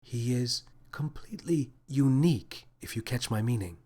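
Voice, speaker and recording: A man voicing a gentle, wise female alien officer with a soft, soothing, measured delivery in an audio story. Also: medium pitch, lightly acted. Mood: fond. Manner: calm, measured, thoughtful.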